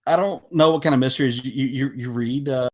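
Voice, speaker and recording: A man speaking casually as a podcast guest, with halting, hesitant phrasing as he explains things. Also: mid-range voice. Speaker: podcast guest, visual novel developer. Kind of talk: casual interview talk.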